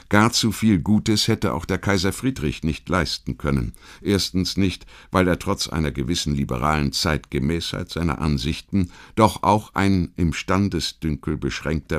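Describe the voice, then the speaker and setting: A man reading a scripted history documentary as an audiobook narrator, in a measured, formal, essayistic way. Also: low-pitched.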